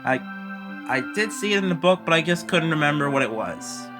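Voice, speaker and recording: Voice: mid-range voice, lively intonation, fairly quick. Casual commentator with music behind. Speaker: home video creator playing along with a children's read-along CD.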